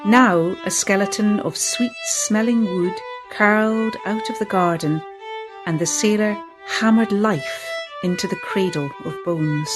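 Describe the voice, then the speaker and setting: A woman reading her own picture book aloud in a lilting storytelling manner. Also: mid-range voice. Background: faint fiddle music.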